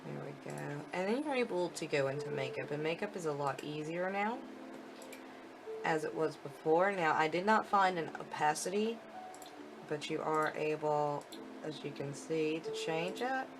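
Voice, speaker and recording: A woman's voice: sits low, animated, moderate pace, background noise. A woman giving a chatty, informal live walkthrough commentary of a video game, explaining as she goes. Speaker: video game demo presenter.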